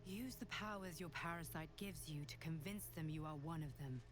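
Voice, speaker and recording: A deep female voice acted by a woman for a mysterious video game guardian. She commands with solemn urgency, and music plays clearly behind her. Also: normal speed, close to an everyday voice. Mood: urgent, commanding. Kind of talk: video game cutscene acting.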